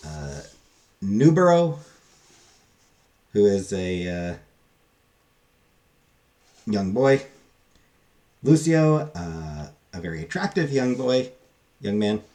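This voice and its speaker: A man giving a casual, unscripted book review, halting and thinking aloud with frequent pauses. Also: lowish voice, sing-song, dark tone.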